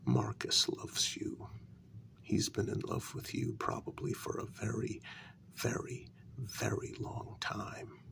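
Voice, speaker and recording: A man playing a vampire queen's devoted, aging human consort in a soft-spoken ASMR role-play, speaking slowly and deliberately in a breathy half-whisper. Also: fairly low pitch, very animated, exaggerated voice. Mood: earnest, hushed. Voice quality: breathy, creaky. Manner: slow, deliberate, half-whispered, with lingering pauses.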